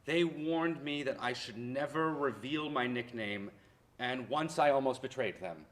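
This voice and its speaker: An actor playing a history professor, the grown son of deported immigrants, reminiscing in a theatrical monologue that swings from hushed to loud. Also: male voice, mid-range voice, expressive, unhurried. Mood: wistful. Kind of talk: dramatic monologue in a staged play reading.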